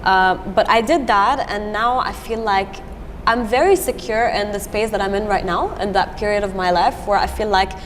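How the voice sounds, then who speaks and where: A woman whose first language is French talks casually as an interview guest, restarting her sentences often. Her voice rings in a large reverberant hall, with clear event chatter behind her. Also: mid-range voice, moderate pace, few pauses. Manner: chatty, many restarts and fillers. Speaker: singer-songwriter guest. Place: event venue. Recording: echoey hall with event noise.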